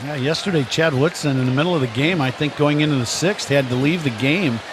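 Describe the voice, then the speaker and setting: A man's voice: fairly high pitch, a little fast. An American man giving conversational, explanatory color commentary on a live baseball radio broadcast. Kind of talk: live radio game analysis. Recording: crowd ambience noise behind broadcast. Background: ballpark ambience noise.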